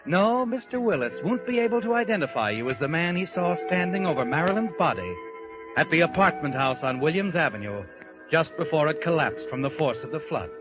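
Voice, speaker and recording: A man's voice: a little high, expressive, a little fast, close to an everyday voice. An actor playing a sinister, all-knowing narrator who taunts a guilty man in a 1940s radio mystery. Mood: taunting. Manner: taunting, insinuating. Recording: dull old radio recording with music.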